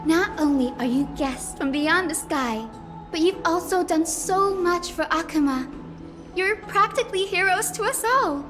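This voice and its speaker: A woman playing a sweet, bubbly young healer priestess in a video game, cheerful, gushing and excitable. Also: extremely high pitch, measured pace, over music. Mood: cheerful, reassuring.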